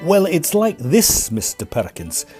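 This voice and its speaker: An actor playing a polite, discreet railway conductor in a Victorian audio farce, deferential and a little hesitant. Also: male voice, fairly high pitch, wildly swooping pitch, measured pace, mellow, faint music behind. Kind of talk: period farce audio drama acting.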